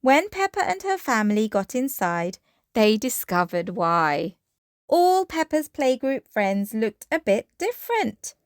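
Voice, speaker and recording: A woman's voice: fairly high pitch, big pitch swings, unhurried, no room sound, clean studio sound. A woman reading a children's bedtime story aloud as narrator.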